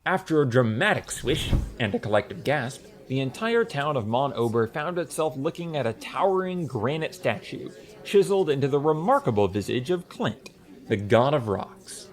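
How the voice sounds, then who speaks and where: A man narrating a comic fantasy audiobook in a lively, scripted storytelling style. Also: mid-range voice.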